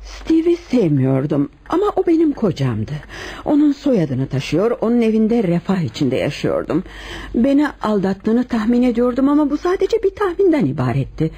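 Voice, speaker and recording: A woman acting a composed, lovelorn wife confessing to murder in a Turkish radio play, with measured dramatic delivery. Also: fairly high pitch, wildly swooping pitch, mellow, husky, heavily acted. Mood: confiding.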